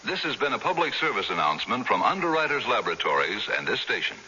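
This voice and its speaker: A man's voice: fairly low pitch, sing-song, fast. A man reading a scripted public service announcement for radio. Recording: hissy lo-fi radio recording.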